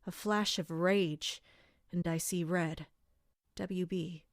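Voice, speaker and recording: A woman playing a dutiful, serious captain of an elite armored guard in an audio drama, speaking in a measured way. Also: fairly low pitch, steady tone, no echo, studio quality. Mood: reciting darkly. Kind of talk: audio drama acting with first-person narration.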